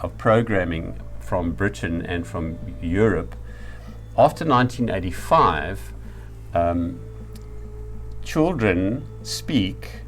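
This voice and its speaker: Deep-voiced South African man lecturing. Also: animated, slow pace, faint music behind. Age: middle-aged or older. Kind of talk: reflective spoken lecture.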